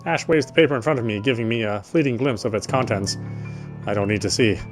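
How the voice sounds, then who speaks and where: A man's voice: mid-range voice, quick pace. A man streaming a game, reading visual novel narration aloud in a dramatic way. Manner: dramatic reading with offhand asides. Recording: game music behind the voice.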